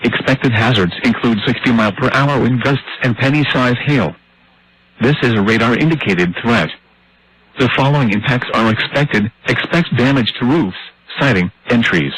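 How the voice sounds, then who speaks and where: A synthetic male voice reading formal emergency weather warnings in a measured, impersonal way over a radio broadcast. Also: mid-range voice, fairly bright tone, slightly rough, no echo, rough recording. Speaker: automated weather radio announcer. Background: radio static and hiss.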